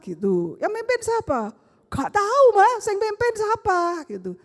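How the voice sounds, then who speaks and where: Animated Surabaya-accented woman preaching. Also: very high voice, moderate pace. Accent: Surabaya Javanese Indonesian. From Surabaya, Indonesia. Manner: animated storytelling with rhetorical questions, acts out family dialogue. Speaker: pastor. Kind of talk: sermon. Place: church sanctuary. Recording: long church-hall reverb.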